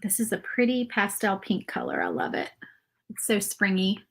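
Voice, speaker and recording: A woman with a mountain Appalachian accent talks in a loose, rambling way as she paints live for her viewers. Her voice sits at a middle pitch and keeps a chatty, friendly flow. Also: wildly swooping pitch, normal speed, a bit bright, breathy edge. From Virginia, USA. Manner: chatty, rambling, reads viewer comments aloud. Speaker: craft livestream host. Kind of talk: casual live craft stream chat.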